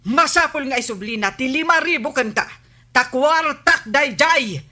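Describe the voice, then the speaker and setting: An actress playing the village captain's stern, nagging wife in an Ilocano radio comedy, with an Ilocano accent. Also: female voice, high-pitched, expressive, moderate pace, sharp tone. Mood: stern, demanding. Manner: nagging, commanding.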